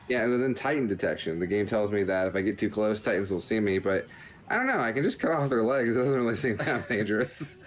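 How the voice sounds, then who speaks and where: A man's voice: fairly low pitch, expressive, quick pace, faint music behind. A man hosting a video game show, giving casual, rambling commentary in a joking, understated way.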